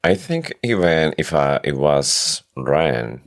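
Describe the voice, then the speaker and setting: Very deep-pitched woman podcast co-host. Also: wildly swooping pitch, takes it slowly, gravelly. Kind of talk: casual book discussion podcast.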